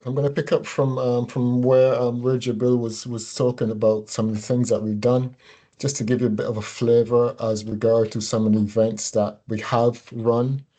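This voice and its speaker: A man presents on a video call in a measured, somewhat hesitant manner. His delivery stays flat and even. His voice sounds mellow and dark. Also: mid-range voice. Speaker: staff presenter. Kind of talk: online presentation. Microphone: video call mic.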